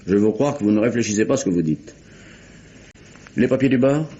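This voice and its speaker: Stern magistrate, radio drama, authoritative, measured phrasing, lowish animated voice, dull old recording. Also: male voice, breathy edge. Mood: sceptical. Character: stern, formal male British magistrate in colonial Gibraltar. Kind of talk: 1950s French radio mystery acting.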